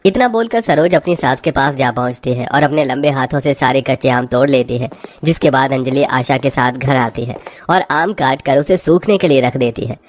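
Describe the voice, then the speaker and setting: A woman's voice: very deep voice, normal speed. A woman narrator reading a scripted cartoon story.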